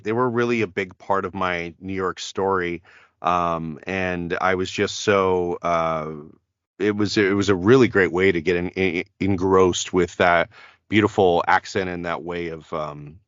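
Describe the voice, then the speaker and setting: A man's voice: deep voice, fairly bright tone, clear, resonant tone, no echo, muffled recording. A man, a voice actor, chatting as a podcast guest, rambling with many fillers and restarts. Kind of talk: casual podcast interview.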